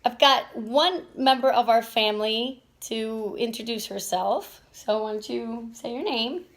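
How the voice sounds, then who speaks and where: A woman's voice: fairly high pitch, unhurried. A woman from Venezuela hosting a casual family vlog, rambling a bit with restarts and fillers as she talks. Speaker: vlog host and stay-at-home mom.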